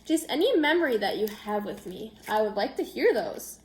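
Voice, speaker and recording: A woman's voice: a little high, sing-song, moderate pace, slight background noise. A woman teacher reading a picture book aloud and chatting informally and directly to young children. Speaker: elementary school teacher. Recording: echoey room.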